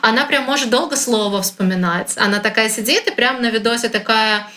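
A young woman from Russia chatting casually as a guest, lively and informal with plenty of slang. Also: on the high side, fairly quick. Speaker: guest, content creator. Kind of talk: casual conversation.

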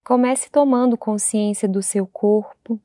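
A Brazilian woman guides a meditation with a dark, relaxed tone. She speaks gently and without hurry, leaving long silences between short phrases. The sound is very dry, with no room around the voice. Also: medium pitch, unhurried, dry recording.

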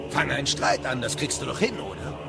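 An actor playing a sardonic, talkative guide in an audio drama, explaining things in a wry, gravelly voice. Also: male voice, medium pitch, lively intonation, brisk, background noise.

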